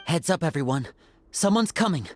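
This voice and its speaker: A level-headed young woman adventurer in an anime game. She has a low, very expressive voice and a kind, reassuring manner. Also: moderate pace, dry recording, faint music behind. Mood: alert, wary. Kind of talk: anime video game voice acting.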